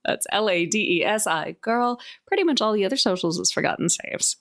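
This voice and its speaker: A woman's voice: medium pitch, lively intonation, a little fast, fairly bright tone. A woman speaking casually as a player on a tabletop game podcast.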